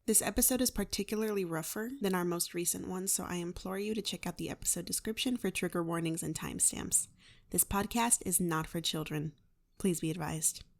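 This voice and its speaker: A woman's voice: on the low side, a little fast, vocal fry. A woman announcer reading a scripted advisory to listeners.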